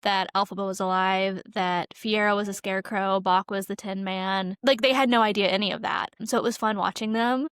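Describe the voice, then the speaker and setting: A woman's voice: medium pitch, fairly even intonation, fairly quick, few pauses, fairly bright tone, smooth, no room sound, studio quality. A woman co-hosting a casual podcast, chatty and sprinkling "like" through her sentences. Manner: chatty, heavy use of "like". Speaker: podcast co-host.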